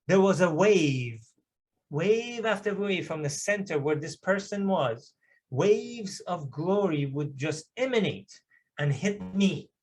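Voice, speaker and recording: A middle-aged man from Iran, a native Persian speaker, giving an earnest personal testimony at a church service, with emphatic swells as he tells his story. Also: on the high side.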